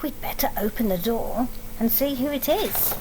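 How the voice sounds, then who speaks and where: High-voiced English woman reading a storybook. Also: normal speed, background noise. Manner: expressive, explaining, asks tag questions. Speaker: teacher reading to children. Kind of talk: storybook read-aloud with asides to young children.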